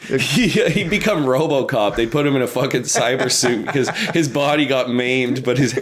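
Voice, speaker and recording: Male podcast co-host, average pitch, casual comedic chat with crude, sweary joking. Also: moderate pace, slight background noise. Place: remote podcast call.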